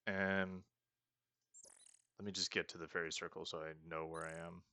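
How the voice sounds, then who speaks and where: A man's voice: low-pitched, little pitch movement, normal speed, bright, forceful tone, no room sound. A man casually commenting as he plays a game, chatty and self-mocking as he thinks aloud. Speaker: let's play host.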